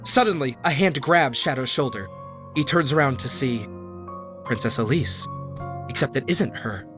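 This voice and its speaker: A man's voice: mid-range voice, animated, normal speed. A man reading dramatic narration aloud for a comedy fandub, prone to cracking up. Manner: prone to cracking up mid-line. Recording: music behind the voice.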